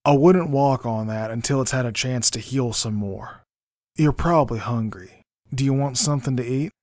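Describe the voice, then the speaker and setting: A man playing an earnest, remorseful young man with a gentle, sincere manner in an amateur audio drama. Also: mid-range voice, moderate pace, dry recording, clean studio sound, natural voice. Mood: concerned. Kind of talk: fan audio drama dialogue.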